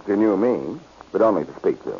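A man's voice: low-pitched, lively intonation, brisk. An actor playing a cool-headed, wisecracking male amateur sleuth, tossing off sardonic quips in 1940s radio detective style. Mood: flippant. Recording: muffled, noisy old radio recording.

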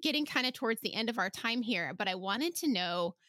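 A woman's voice: on the high side, lively intonation, fairly quick, sharp tone, no room sound, studio quality. A woman hosting a podcast interview in a casual, informal way, often checking in with "right?". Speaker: podcast host.

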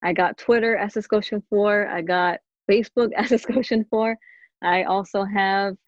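A woman's voice: medium pitch, steady tone, moderate pace, no echo. Giggly woman guest, dull remote sound. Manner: easygoing, giggly, many fillers. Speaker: podcast guest. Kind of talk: casual chat.

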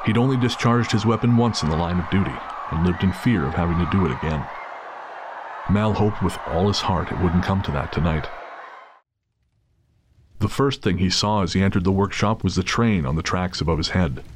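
A man's voice: low-pitched, moderate pace. A man narrating a scripted dramatic story as the narrator of an audio drama. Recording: clear background noise of city ambience.

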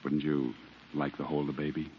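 An earnest young American father in old radio drama. His voice is extremely low, on a muffled, clearly noisy recording. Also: flat delivery, normal speed. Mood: grateful. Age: young adult. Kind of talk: 1940s radio acting.

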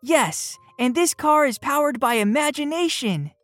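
Woman voicing a high-pitched, eager little penguin. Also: expressive, unhurried, a bit bright, no room sound, soft background music. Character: childlike cheerful penguin, a small boy's best friend. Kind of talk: children's storybook character voice.

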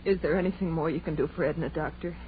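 A woman's voice: deep voice, fast talker, phone-quality sound, lightly acted. An actress playing an earnest, anxious young woman in theatrical 1930s radio drama style, on a scratchy old recording. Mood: worried. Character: anxious, earnest young working woman trying to keep order.

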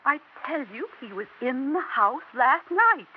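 A woman's voice: high voice, very animated, a little slow, slight background noise. An actress playing an older widowed mother who insists her dead husband came home, anxious and pleading, in a 1960s radio drama. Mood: insistent. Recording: dull lo-fi old radio recording.

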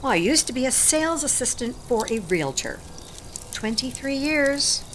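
An American actress, middle-aged, playing a blunt, salty older warehouse worker in a naturalistic audio drama. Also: female voice, sits high, lively intonation, moderate pace, a bit bright, slight background noise. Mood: matter-of-fact. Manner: salty, plainspoken, folksy. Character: blunt, aging warehouse worker living in a van.

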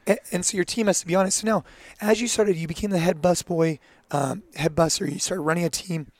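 A man with a high voice hosts an interview, talking fast and eagerly as he sets up his questions. The recording is dry and close. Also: steady tone, no room sound. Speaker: podcast host for gym owners. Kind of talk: interview host.

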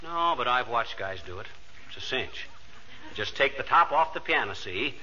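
An actor playing a restless, boastful husband with a blustery, folksy American manner in a 1940s radio comedy. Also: male voice, mid-range voice, lively intonation, moderate pace, bright, forceful tone. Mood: insistent. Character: restless, stubborn, boastful bumbling husband eager to fix things. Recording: dull, noisy vintage radio recording.

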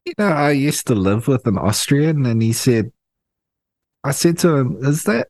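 A man co-hosting a podcast by remote call, deadpan and given to giggling as he feeds jokes. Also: mid-range voice, normal speed, no room sound, studio quality. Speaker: podcast co-host. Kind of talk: casual podcast banter. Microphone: remote call mic.

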